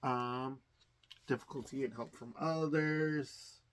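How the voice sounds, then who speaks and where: A man's voice: mid-range voice, fairly even intonation, clear, resonant tone. A man hosting a casual unboxing video, thinking aloud with lots of fillers and restarts, enthusiastic about each item he pulls out. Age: adult. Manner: rambling, halting, many fillers and restarts; enthusiastic about what he finds. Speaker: unboxing channel host.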